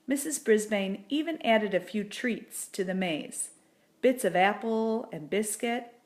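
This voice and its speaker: A woman reading a children's book aloud in a deliberate, expressive storybook narration. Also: mid-range voice.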